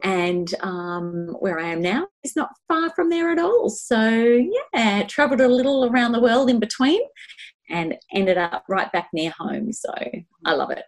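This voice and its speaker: A woman's voice: mid-range voice, expressive, unhurried. A woman in her fifties with an Australian accent, co-hosting a podcast in a casual, conversational style. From Melbourne, Australia. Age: 50s. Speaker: podcast host.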